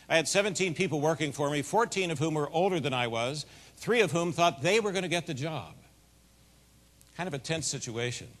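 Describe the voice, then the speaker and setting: Big-voiced man telling stories in a hall. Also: fairly high pitch. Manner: folksy, animated storytelling with a big voice. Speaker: keynote speaker. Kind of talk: storytelling keynote speech. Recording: long hall reverb.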